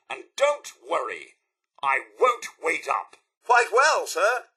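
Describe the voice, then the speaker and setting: A fussy British robot butler from a video game. The voice is high and bright, with theatrical swoops in pitch. The speech is formal, devoted and a touch anxious, like a proper household servant. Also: male voice, breathy edge, exaggerated voice. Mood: sarcastic. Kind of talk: video game character dialogue.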